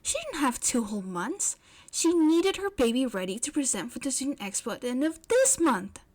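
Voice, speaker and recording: Female storyteller, fairly high voice, scripted fiction narration. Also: big pitch swings, moderate pace, a little mellow.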